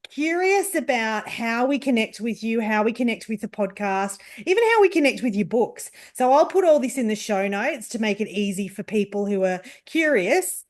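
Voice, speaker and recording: Higher-voiced woman hosting an interview. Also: lively intonation, moderate pace, few pauses. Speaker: podcast host, interviewer. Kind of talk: casual conversational interviewing.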